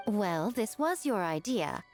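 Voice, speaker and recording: A woman's voice: medium pitch, big pitch swings, slow pace, soft background music. A woman voicing a sly, elegant fox priestess in a video game, poised, teasing and theatrical. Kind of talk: video game character acting.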